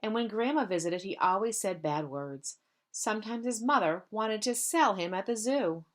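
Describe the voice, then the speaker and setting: A woman's voice: mid-range voice, animated, normal speed, fairly bright tone. A woman reading a picture book aloud to young pupils as a member of school staff, lingering on repeated phrases. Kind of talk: children's storybook read-aloud.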